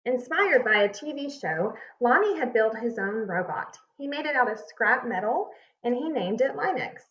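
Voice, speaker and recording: Teacher lady reading a kids book out loud, highish voice, expressive, echoey room. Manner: expressive, deliberate storytelling with emphasis. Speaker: read-aloud narrator, teacher. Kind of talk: reading a children's picture book aloud. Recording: echoey room, slightly muffled sound.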